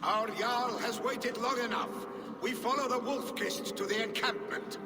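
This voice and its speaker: An actor playing a loyal Norse clansman who speaks in a formal, measured way. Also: extremely high pitch, steady tone, heavy background noise. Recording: game audio with long reverb.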